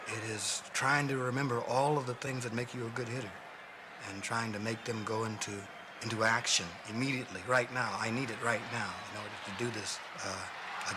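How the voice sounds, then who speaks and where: A man talking reflectively about baseball in an interview clip, repeating phrases for emphasis. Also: on the low side. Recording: archive clip with loud background noise.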